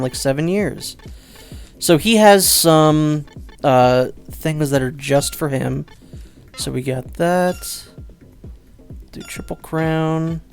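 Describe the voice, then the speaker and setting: A man giving casual, rambling let's play commentary, second-guessing himself out loud and swearing offhandedly. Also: on the high side.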